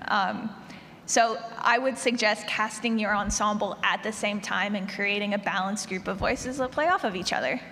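A woman's voice: mid-range voice, normal speed, bright, forceful tone. A woman talking casually as an audio drama maker on a conference panel. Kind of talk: casual explanatory panel talk. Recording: reverberant conference hall, noisy.

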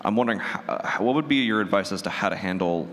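Deep voiced guy asking a question at a panel, bit hesitant, big echoey room. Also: lively intonation, quick pace, a bit bright, slight background noise. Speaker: audience member asking a question. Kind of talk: casual spoken question. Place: large convention room. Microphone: audience microphone. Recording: echoey hall.

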